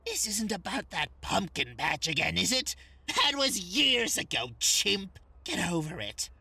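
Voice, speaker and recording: A woman's voice: fairly low pitch, big pitch swings, unhurried, vocal fry, sharp tone, slightly rough, exaggerated voice. A woman playing a jaded, mocking bird henchwoman with a drawl in a comic audio drama.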